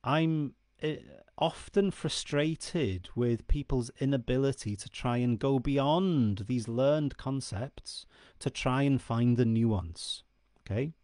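A man's voice: medium pitch, slow pace, darkish tone, no echo, studio quality. A man in his forties whose first language is Welsh, explaining Celtic myth to camera in an earnest way and often checking in with the listener. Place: dark room indoors. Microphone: studio mic on stand close in front.

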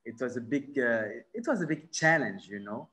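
French-accented man, halting and searching. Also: medium pitch, very animated, pushed and bright. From born in Morocco, raised in France. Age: 40s. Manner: halting, searching for words, many fillers; gestures with his hands while talking. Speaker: film director. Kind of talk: video call interview. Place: bright room at home. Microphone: laptop mic on a video call.

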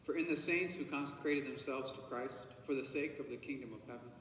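A male priest saying Mass at the altar in a measured, solemn tone, heard from a distant camera in a large church. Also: medium pitch. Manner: measured, solemn liturgical recitation, quiet murmured private prayers. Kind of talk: celebrating Mass, reciting liturgical prayers. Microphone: far camera. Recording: echoey church from far off, faint hiss.

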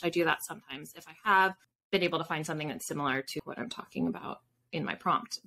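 Woman, 30s, author guest. Forceful bright tone, slightly low, conversational with asides. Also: slight background noise. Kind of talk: casual interview chat. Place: home room with bookshelves, indoors. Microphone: video call.

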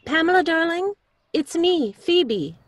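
A woman's voice: very high-pitched, animated, slow pace, no room sound, full character voice. A woman playing a fierce, cool-headed rescuer in a radio mystery play. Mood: tender.

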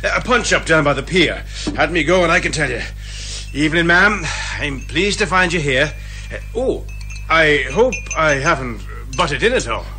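A man's voice: a little high, lively intonation, normal speed, sharp tone, faint music behind. A man playing a bumbling, genial police inspector who rambles hesitantly and folksily in a radio comedy drama. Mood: apologetic, rambling.

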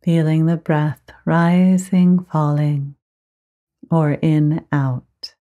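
A woman teaching guided meditation, giving gentle, measured instructions with frequent pauses. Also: deep voice, flat delivery, muffled recording.

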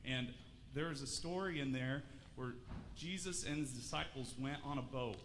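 A man's voice: mid-range voice, moderate pace, fairly bright tone. A man in his thirties giving a children's sermon in a church sanctuary, talking in a friendly way, asking questions and restarting often. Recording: church hall reverb, faint room noise.

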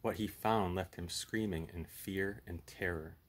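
A man's voice: deep voice, steady tone, measured pace. A man in his thirties hosting a puppet show, chatting casually and reading a horror story aloud.

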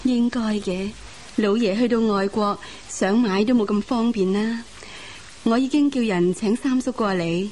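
A woman's voice: medium pitch, unhurried, dark tone, natural voice. A woman acting a courteous, dignified official's wife in a Cantonese radio drama, formal and composed, with a Hong Kong accent. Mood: attentive, polite. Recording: hissy radio drama rebroadcast.

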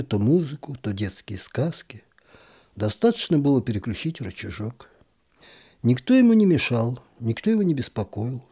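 A man reading a literary short story aloud in a calm, even storytelling manner, now and then dropping to a whisper. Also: mid-range voice, husky. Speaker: narrator.

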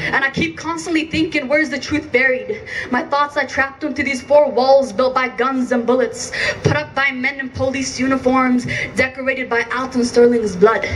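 A young woman from Iraq reads her poem at an outdoor rally. She speaks into a handheld mic with a fairly high, intense and rhythmic delivery. The sound carries through loudspeakers and is picked up from afar, with a faint hiss behind it. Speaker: poet. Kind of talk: spoken word poetry at a rally. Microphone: handheld mic through PA, filmed from a distance. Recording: distant outdoor PA with faint noise.

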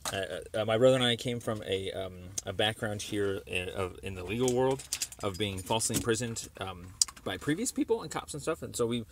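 A man making a phone call, explaining a complaint in a halting, wordy, circling way. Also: lowish voice, animated, creaky. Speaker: caller. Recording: noisy phone call recording.

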